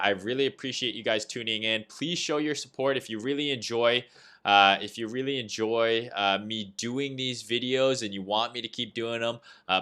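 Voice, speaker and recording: A young Canadian man with a Canadian accent, chatting casually on a trading livestream. His voice is fairly low but bright and forward, and he speaks in loose, rambling sentences full of "uh" and "right?" as he answers viewers. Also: clean tone. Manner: loose and chatty, reads chat aloud, frequent "uh" and "right?". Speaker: livestream host, trading analyst. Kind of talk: casual livestream market commentary.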